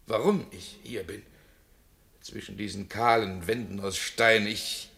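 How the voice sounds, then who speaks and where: A man's voice: lowish voice, steady tone, a little slow, vocal fry, pushed and bright, heavily acted. An actor playing a condemned man who pleads his innocence from his cell. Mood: despairing. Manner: impassioned, crying out his innocence. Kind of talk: radio drama acting.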